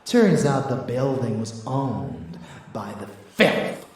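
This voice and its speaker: A man's voice: mid-range voice, sing-song, takes it slowly, a little mellow, heavy reverb, big-room sound, far from the mic, a little hiss or hum. A man playing a seductive, predatory vampire lover in an ASMR audio drama, murmuring intimately and sometimes sinking to a whisper. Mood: seductive, scheming.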